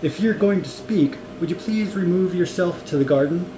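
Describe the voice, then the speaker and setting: A man plays a grandiose mad scientist in a comic story, excitable and petulant. The voice is pushed higher and emphatic, in an echoey, noisy room. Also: moderate pace, lightly acted. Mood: melodramatic. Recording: echoey room with clear noise.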